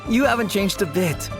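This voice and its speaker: A fairly high male voice, youthful and earnest. He sounds like a friendly young video game character. Music is clearly audible behind him. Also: heavily acted.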